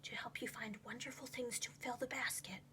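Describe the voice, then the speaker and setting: A kindly grown-up gentleman mouse acted out by a woman from a children's storybook. He speaks like a father or uncle, questioning and advising the young ones. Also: fairly high pitch, fairly even intonation, a little fast, slightly rough, whispered, voice pushed down, exaggerated voice. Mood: secretive whisper.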